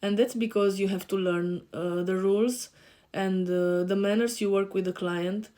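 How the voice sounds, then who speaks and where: A woman in her thirties from Romania with a Romanian accent, introducing herself to camera as a podcast host and life coach, pausing often with fillers and restarts. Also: medium pitch, fairly even intonation, dark tone. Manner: hesitant, many fillers and restarts. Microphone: facing camera.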